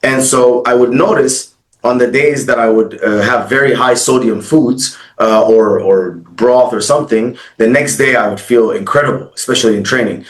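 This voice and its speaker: A man in his thirties from Egypt and Dubai, telling his story as an interview guest in a casual, fast way with lots of fillers. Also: mid-range voice, reverberant. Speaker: guest, agency founder.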